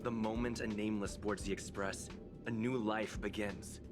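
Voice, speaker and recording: Actor as stern male game character, lowish voice, solemn, admonishing, music underneath. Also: flat delivery, normal speed, lightly acted. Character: stern, commanding male voyager giving warnings. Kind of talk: video game voice acting.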